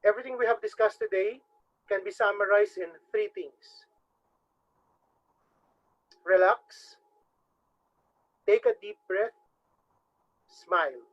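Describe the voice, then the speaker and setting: High-voiced man with Filipino accent. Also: takes it slowly, long pauses. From Philippines. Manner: formal, addresses the audience as ladies and gentlemen. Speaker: webinar trainer. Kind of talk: instructional webinar talk. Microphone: video call microphone. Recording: dull lo-fi webinar audio.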